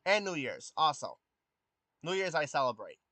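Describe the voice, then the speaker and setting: Man, early twenties, youthful undeepened voice, slightly high, hesitant with ums, casual live stream chat, dry sound. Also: sing-song, moderate pace, sharp tone, dry recording. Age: 20s. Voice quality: youthful voice that has not deepened. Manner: hesitant, many ums and restarts, answers chat questions.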